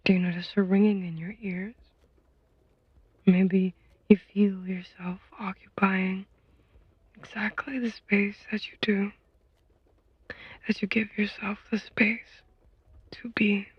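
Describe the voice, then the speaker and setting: Female meditation guide, very breathy and whispered, slow and gentle, on a slightly dull recording. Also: medium pitch, little pitch movement, dry recording. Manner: gentle, soothing, unhurried with long pauses.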